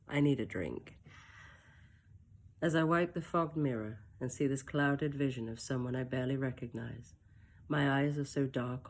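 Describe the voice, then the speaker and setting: Woman author reading her own first-person story, close mic, soft and reflective, with wry asides. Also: very deep voice, slight background noise. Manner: soft, emotional and reflective, with brief self-mocking asides.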